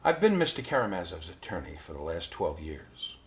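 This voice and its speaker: An actor playing a formal, guarded male attorney giving a measured, carefully worded statement in a crime drama. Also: deep voice, sing-song. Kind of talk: acted formal statement to investigator.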